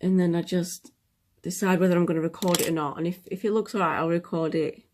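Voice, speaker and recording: A woman's voice: lowish voice, fairly even intonation, fast, a little mellow, slight background noise. A young woman, chatting casually through a makeup tutorial, sniffly from a cold and often dropping to a whisper as she works. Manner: chatty, self-deprecating, drops into whispers while working.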